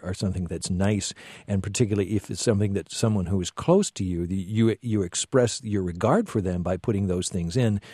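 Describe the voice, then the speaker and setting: A man's voice: fairly low pitch, warm tone, breathy edge, dry recording, studio quality. A man hosting an interview, reflecting on his guest's points with some hesitation. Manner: reflective, restating ideas with hesitations. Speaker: host. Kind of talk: interview conversation.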